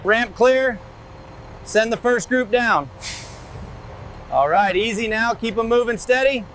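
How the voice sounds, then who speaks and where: A man playing a steady livestock and factory worker, giving instructions in scripted workplace dialogue. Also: extremely high pitch, takes it slowly, a little hiss or hum, full character voice. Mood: directing calmly.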